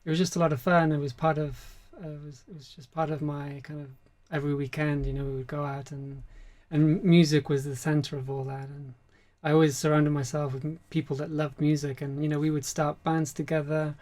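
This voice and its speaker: A man from Spain, a musician guest, chatting in a relaxed, soft-spoken interview and using many fillers. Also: on the high side, brisk, hardly any pauses.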